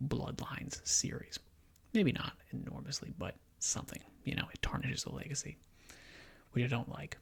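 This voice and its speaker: A man speaking in soft, whispery ASMR style, giving rambling, halting gaming commentary as he thinks aloud. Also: medium pitch. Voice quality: whispery, breathy, crackly. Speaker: video game commentator.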